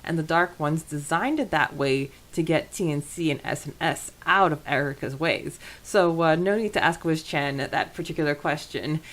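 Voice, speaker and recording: A woman's voice: low voice, moderate pace, few pauses, a bit bright. A woman co-hosting a podcast, talking through a recap in a casual, conversational way. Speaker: podcast co-host.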